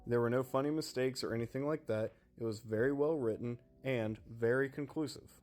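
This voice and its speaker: A man's voice: mid-range voice, fairly quick, darkish tone. Man presenting a product review over music. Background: background music.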